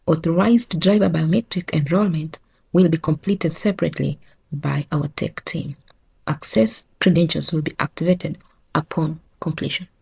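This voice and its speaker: A woman with a husky, creaky voice delivering dramatic scripted narration as the narrator of an audio drama. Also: deep voice, fairly even intonation, moderate pace, rough recording.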